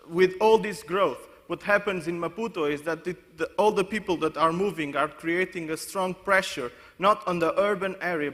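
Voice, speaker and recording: A man's voice: fairly high pitch, sharp tone. A man from Portugal giving a conference presentation, often restarting and correcting himself mid-sentence. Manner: halting, many restarts and self-corrections. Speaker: conference speaker. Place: conference hall. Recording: long hall reverb.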